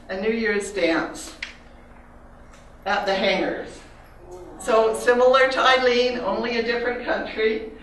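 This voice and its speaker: An older woman with a Canadian accent speaks reflectively to an audience about her art, pausing now and then with fillers. Her voice sits at a middle pitch. She is heard from a distance in a very echoey hall, with faint room noise behind her. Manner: reflective, personal, pauses with fillers. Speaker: artist giving a talk. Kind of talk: slide talk to an audience.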